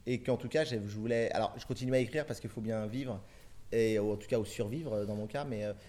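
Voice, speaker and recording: A man's voice: fairly low pitch, fast, mellow. A middle-aged man from France, a film director answering interview questions in long, rambling turns full of restarts and fillers.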